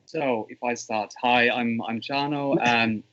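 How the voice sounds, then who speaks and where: A man's voice: medium pitch, steady tone, measured pace, a bit bright, dry recording. A man from Finland with a Finnish accent, speaking as a guest in a casual discussion over a video call, easygoing and self-deprecating. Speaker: panel guest. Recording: dry, muffled call audio.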